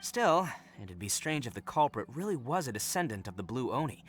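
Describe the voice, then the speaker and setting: A man's voice: mid-range voice, sing-song, faint music behind, close to an everyday voice. A man voicing a proud, earnest oni gang leader in a video game, telling things dramatically with heavy emphasis. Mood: earnest, resolute. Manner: dramatic, emphatic storytelling with sighs and drawn-out words. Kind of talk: animated video game voice acting.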